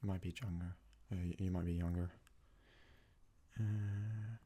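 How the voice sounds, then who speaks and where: A young man from Sweden, a native Swedish speaker, talks casually in a soft-spoken, hesitant ASMR style as he answers questions. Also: low voice, steady tone, a little slow, breathy edge. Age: young adult. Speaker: ASMR creator.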